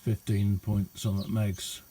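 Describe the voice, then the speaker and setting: Low, mellow-voiced man. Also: little pitch movement, a little hiss or hum. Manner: unhurried, halting, colloquial. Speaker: radio hobbyist showing a homemade receiver. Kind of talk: casual hands-on demonstration vlog. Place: indoor hobby radio room.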